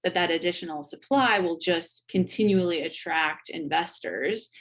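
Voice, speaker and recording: A woman giving measured, analytical commentary as an academic guest on a panel. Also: lowish voice.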